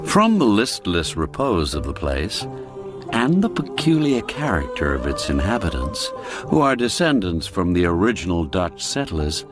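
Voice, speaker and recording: A man narrating a classic radio story in a measured, deliberate storytelling manner. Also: sits low, sing-song. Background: faint background music.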